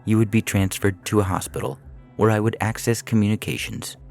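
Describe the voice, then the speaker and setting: A man reading audio fiction as a calculating artificial intelligence, coolly persuasive and menacing, speaking in a measured, clinical, formal way. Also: lowish voice, little pitch movement, gravelly, a little mellow, soft background music, voice pushed up. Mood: calm menace. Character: calculating prison AI, four years old, coolly persuasive, menacing.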